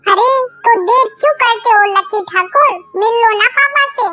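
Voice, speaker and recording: A woman's voice: very high-pitched, moderate pace, pushed and bright, soft background music. A woman voicing an earnest young fisherwoman bird in a cartoon story. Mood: playful. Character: young poor fisherwoman bird, anxious devoted wife.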